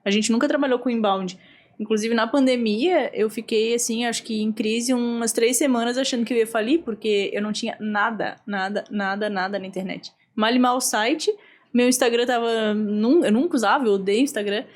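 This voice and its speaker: Blunt, expressive Brazilian woman hosting a podcast. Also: fairly high pitch, brisk. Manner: blunt and expressive, swears freely, acts out quotes. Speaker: podcast host. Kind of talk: casual podcast chat.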